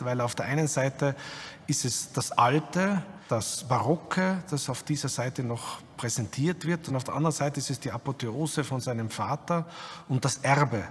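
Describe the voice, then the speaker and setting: A man's voice: medium pitch, breathy edge. A man explaining as an expert in a documentary interview, academic and long-winded. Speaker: expert commentator. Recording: long reverb, faint ambient noise.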